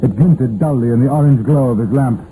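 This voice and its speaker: An actor playing a steady, probing police inspector in a 1950s radio drama, measured and coaxing. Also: male voice, mid-range voice, lightly acted. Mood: grim narration. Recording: muffled, noisy old radio broadcast.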